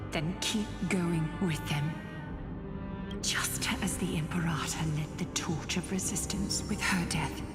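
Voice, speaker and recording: A woman playing a dignified, resolute noblewoman leader in a video game, composed, solemn and measured. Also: lowish voice, roomy sound, in a large hall, lightly acted. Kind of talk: scripted video game acting.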